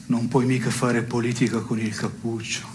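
An actor playing a wry, melancholy man in an absurdist stage dialogue, speaking in a measured deadpan. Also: medium pitch, lightly acted. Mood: deadpan, probing. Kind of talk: absurdist two-hander theatre dialogue. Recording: noisy radio broadcast of theatre piece.

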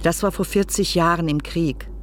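A woman's voice: on the low side, steady tone, normal speed, natural voice. A woman playing a formal petitioner, reading out a letter in a documentary radio drama. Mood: formal, earnest.

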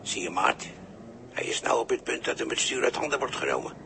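A menacing crewmate who needles his comrade in a vintage radio play. His voice is extremely gravelly and moves up and down in a lively, mocking way. The old recording is plainly noisy. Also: mid-range voice, fairly quick. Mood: taunting. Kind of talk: 1960s Dutch radio drama acting.

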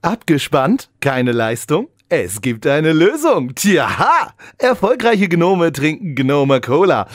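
Man as a swooping, slick radio pitchman. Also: sing-song, slow, dry recording, clean studio sound. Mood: upbeat, selling. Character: slick male pitchman for a gnome energy drink. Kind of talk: parody radio commercial.

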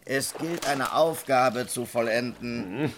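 An actor in a radio drama playing a boastful, lewd Dutch brothel keeper who tells stories in a theatrical, bragging way. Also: male voice, mid-range voice, takes it slowly, noisy background, natural voice. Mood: mock-solemn. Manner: theatrical, bragging, chuckling storyteller.

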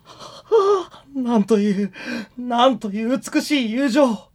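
A woman's voice: a little high, very animated, takes it slowly, darkish tone, full character voice. A woman playing a gleeful schoolgirl announcer on an anime radio show, teasing, grandiose and mock-dramatic. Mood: solemnly dramatic. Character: gleeful, theatrical schoolgirl broadcast club announcer. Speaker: contest announcer.

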